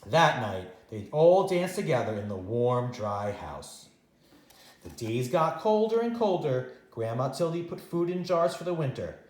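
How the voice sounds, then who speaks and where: A man reading a children's story aloud as a teacher, expressively and with dramatic pauses. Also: mid-range voice, very animated, a little mellow, reverberant. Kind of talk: animated read-aloud storytime narration.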